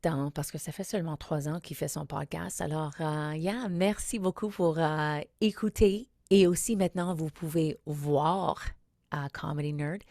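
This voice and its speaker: A woman's voice: deep voice, steady tone, a little slow, vocal fry, mellow, dry recording. A woman, a native English speaker, hosting a podcast from a studio and chatting casually with frequent fillers and slips into English. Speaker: podcast host. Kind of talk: casual podcast intro and interview.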